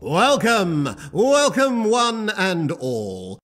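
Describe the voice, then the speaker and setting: A man's voice: sits high, huge pitch swings, very slow, fairly bright tone, full character voice. A man playing a theatrical, grandiose host of a lavish underworld ball in a fantasy audio drama. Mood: grand, welcoming. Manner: grandiose, showman-like public address.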